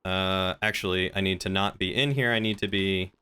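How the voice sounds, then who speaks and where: Clear, bright-voiced male game streamer. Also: low voice, fairly even intonation, moderate pace. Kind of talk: casual game stream chat and improvised roleplay.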